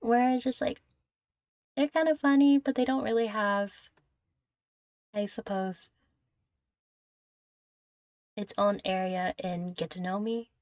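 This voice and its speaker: A woman's voice: mid-range voice, fairly even intonation, takes it slowly, long pauses, darkish tone, no echo. A young adult woman chatting casually through viewer questions in a rambling, giggly, self-deprecating way, close to the microphone. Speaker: content creator answering viewer questions. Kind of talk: casual Q&A chat.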